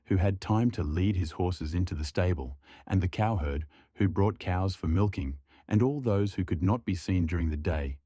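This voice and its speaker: A man's voice: sits low, normal speed, hardly any pauses, mellow, slightly rough, no echo, studio quality. A man reading a folk tale as scripted storytelling narration.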